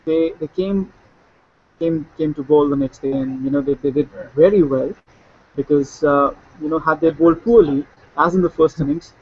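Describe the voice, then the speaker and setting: A man's voice: on the high side, breathy edge. Man analysing cricket over dull lo-fi audio. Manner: fluent, with fillers and restarts. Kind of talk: casual cricket discussion. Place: Sydney, remote link.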